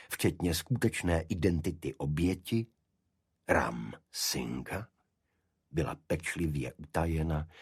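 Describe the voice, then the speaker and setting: A man reading a detective story as an audiobook narrator, in a scripted literary style with a husky voice. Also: a bass voice, dry recording, clean studio sound.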